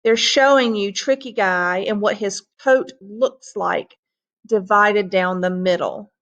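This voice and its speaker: A woman's voice: medium pitch, slow pace, fairly bright tone, muffled recording. A woman teacher reading a folktale aloud to students and stopping to explain words with patient restarts.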